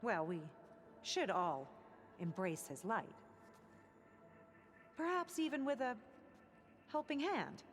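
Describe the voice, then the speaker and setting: A woman's voice: on the high side, very animated, slow, pauses often, faint music behind. A woman playing an earnest, devout cult convert in a video game, a former marine who speaks reverently and a little hesitantly. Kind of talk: video game cutscene acting.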